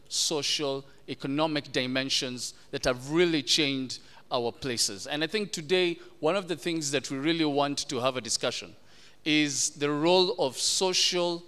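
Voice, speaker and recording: Smooth-voiced man moderating a panel. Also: on the high side, fairly bright tone. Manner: measured, posing questions, mixing in Swahili. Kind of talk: formal panel discussion.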